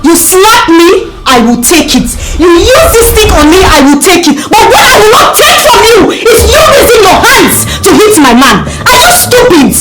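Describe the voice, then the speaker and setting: A woman's voice: very high-pitched, big pitch swings, normal speed, hardly any pauses, poor audio. An actress with a Nigerian accent playing a furious, domineering woman of the house in a melodramatic film, haughty and scolding, with music under her. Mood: furious, outraged.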